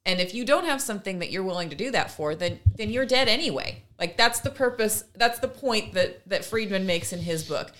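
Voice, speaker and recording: A woman's voice: mid-range voice, expressive, fairly quick, hardly any pauses, a bit bright. A woman co-hosting a casual discussion, earnest and emphatic, building her argument in long runs. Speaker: podcast co-host.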